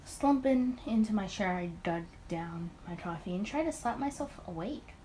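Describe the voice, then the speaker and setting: Tired, awkward detective narrator for a visual novel , read by a woman, animated intonation. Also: medium pitch, normal speed, a little hiss or hum, close to an everyday voice, low-quality recording. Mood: weary, awkward.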